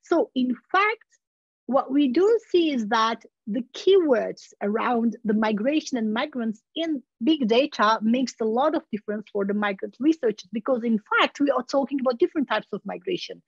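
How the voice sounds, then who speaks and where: A woman's voice: a little high, expressive, normal speed, slightly rough, no room sound. A woman, giving an academic webinar lecture over a video call, talking casually with many "you know, like" asides and self-corrections. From Turkey. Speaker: university researcher giving a webinar. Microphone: laptop video call. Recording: muffled video-call audio.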